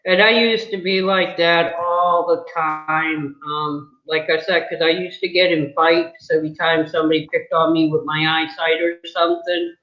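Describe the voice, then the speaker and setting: A middle-aged woman from New England chatting casually and candidly on a livestream, telling personal stories in a rambling way. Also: lowish voice. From New England, United States. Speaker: livestream host and interviewer. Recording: roomy, echoey, muffled lo-fi audio.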